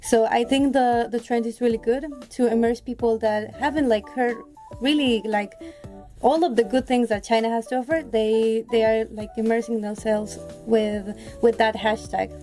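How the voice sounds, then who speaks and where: A young woman from Mexico, a student guest on a podcast, chatting in a relaxed, upbeat way with many likes. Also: on the high side, moderate pace, faint music behind. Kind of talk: casual podcast chat.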